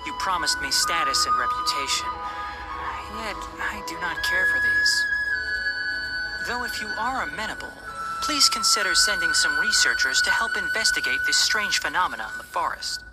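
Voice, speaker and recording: A woman voicing a calm, earnest young male forest watcher in a game trailer, speaking in a measured, formal way. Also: fairly low pitch, low-quality recording. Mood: earnest, resolute. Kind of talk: scripted game trailer narration of a letter. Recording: trailer playback under loud music.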